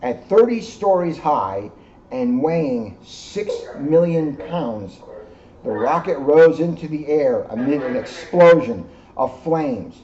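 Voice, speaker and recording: Male storybook reader, police officer, relaxed warm timbre, lo-fi recording. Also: a little high, slow. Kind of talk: children's storybook read-aloud with casual asides. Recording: dull lo-fi sound, faint background noise.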